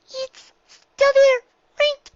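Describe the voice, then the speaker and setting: A woman's voice: very high voice, completely flat delivery, very slow, highs cut off, pitched above the performer's own voice, heavily acted. A woman voicing an anxious little girl unicorn pony in a story, flustered and rambling. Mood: worried.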